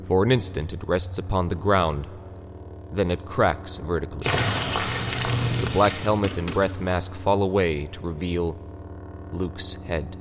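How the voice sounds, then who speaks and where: A man's voice: deep voice, takes it slowly. A man giving dramatic scripted narration for an audio drama. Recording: long reverb, music behind.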